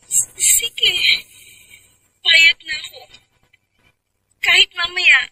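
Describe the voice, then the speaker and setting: A woman's voice: very high voice, extremely slow, bright, forceful tone, exaggerated voice, low-quality recording. An actress playing a lovesick, submissive woman pleading timidly on the telephone in a radio drama. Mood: pleading, yielding.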